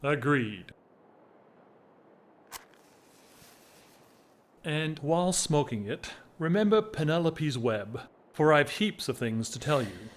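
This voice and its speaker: A man performs a restless, whimsical gentleman of title in an audio drama. His voice sits a little high for a man and moves very slowly through wordy, mock-formal phrases. The delivery is theatrical and teasing. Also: lively intonation. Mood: restless, wistful. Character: whimsical, restless English gentleman, an aristocrat in love. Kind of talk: stage-style audio drama acting.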